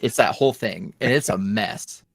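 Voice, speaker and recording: A man's voice: lowish voice, normal speed, creaky, slightly rough, no room sound. A man being interviewed as a guest, chatting casually and telling rambling stories with frequent laughs. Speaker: ASMR roleplay creator, podcast guest.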